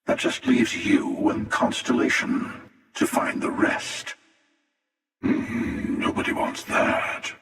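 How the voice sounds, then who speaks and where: Cynical space bad guy for a game, slow taunting drawl, creaky voice, sounds far off in a big hall. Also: a bit bright, faint music behind. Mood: wry, measured. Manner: wry, unhurried, taunting. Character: cynical, confident male space villain, wry and menacing. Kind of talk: video game character voice lines. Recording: very echoey hall reverb, distant.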